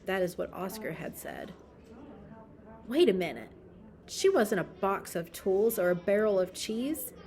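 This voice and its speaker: A woman reading a children's story aloud expressively, with deliberate, dramatic emphasis. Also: mid-range voice, big pitch swings, darkish tone. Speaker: storybook reader.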